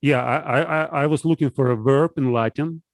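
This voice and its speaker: Halting man. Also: medium pitch, dry recording, studio quality. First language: Russian. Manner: hesitant, halting, searching for words. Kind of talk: casual philosophical discussion.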